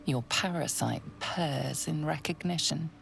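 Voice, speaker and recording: A woman playing an ominous narrator in a fantasy game, with a measured, deliberate delivery of scripted lines. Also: low voice, creaky, faint music behind, heavily acted, poor audio.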